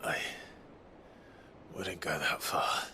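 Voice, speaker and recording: An actor playing a weary, resolute warrior leader, speaking in a measured, earnest, hushed way in a game cutscene. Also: male voice, slight background noise, heavily acted. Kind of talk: video game cutscene acting.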